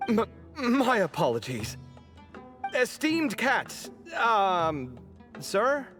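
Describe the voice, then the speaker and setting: A woman playing an earnest, excitable young adventurer in a video game, with a halting delivery. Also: medium pitch, music in the background. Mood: flustered. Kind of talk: video game character acting.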